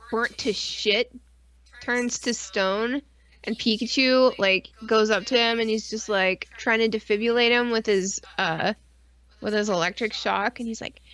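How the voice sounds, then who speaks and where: A woman's voice: fairly high pitch, fairly bright tone. A woman co-hosting a podcast live stream in casual, informal chat with plenty of swearing.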